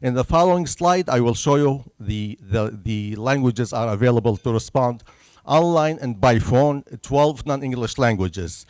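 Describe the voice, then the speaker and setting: A man's voice: medium pitch, expressive, normal speed, a little mellow, clear, resonant tone, no room sound. A man from Syria with a Syrian Arabic accent, a native Arabic speaker, giving an earnest public information presentation at a senior center and checking in with his listeners after each point. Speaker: census presenter. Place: senior center meeting room, indoors.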